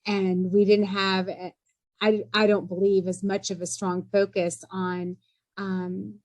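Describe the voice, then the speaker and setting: A middle-aged woman talks in a podcast interview in a voice of middle pitch. She speaks in an earnest, measured way and often pauses on fillers. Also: flat delivery, darkish tone. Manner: measured and earnest, with frequent "you know" and "um" fillers. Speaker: entrepreneur guest.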